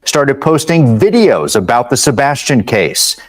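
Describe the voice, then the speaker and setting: A man's voice: medium pitch, big pitch swings, measured pace, no echo. A man presenting a scripted television news report.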